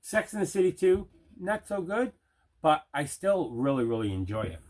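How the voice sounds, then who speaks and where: A man's voice: on the high side, very animated, normal speed, darkish tone. A man hosting a casual unboxing video, chatting away and repeating himself for emphasis. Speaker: host of an unboxing video show.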